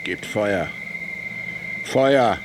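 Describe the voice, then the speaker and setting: An actor playing a frantic military officer in a radio drama, shouting firing squad commands in a strained, gravelly voice. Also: male voice, fairly low pitch, drawn-out words, background noise. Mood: frantic, furious.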